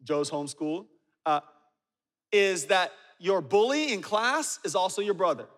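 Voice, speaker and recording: A man in his forties preaching a sermon, telling stories with humor and dropping low and quiet for asides. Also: lively intonation, measured pace. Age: 40s. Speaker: pastor. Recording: reverberant hall.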